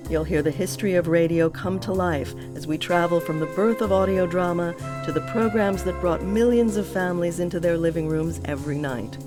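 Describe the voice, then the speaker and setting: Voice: mid-range voice, a little fast, few pauses. An announcer reading an upbeat, inviting podcast promo. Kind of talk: scripted promo read. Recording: voice over music.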